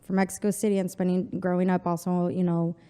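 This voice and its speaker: Low-voiced chatty woman, long reverb. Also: little pitch movement, fast talker, dark tone, smooth. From Texas border town. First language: Spanish. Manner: chatty, frequent "like" and "you know". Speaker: interview guest. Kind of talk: casual interview chat.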